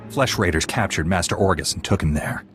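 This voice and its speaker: An actor playing a steady, principled young warrior apprentice in a video game, measured and firm. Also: male voice, sits low, soft background music. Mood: businesslike. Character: young male Jedi apprentice, steady, stern, principled hero. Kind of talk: video game cutscene acting.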